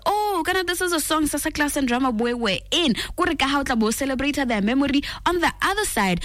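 A woman's voice: medium pitch, fairly bright tone, no echo. Chatty woman radio DJ from Botswana. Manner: chatty, switching between languages mid-sentence. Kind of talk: casual radio chat reading listener messages. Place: radio studio.

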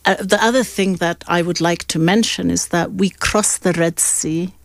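Lower-pitched woman speaking conversationally. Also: fairly even intonation, moderate pace, dry recording. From Sudan. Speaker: interview guest. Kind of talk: conversational explanation with personal recollection.

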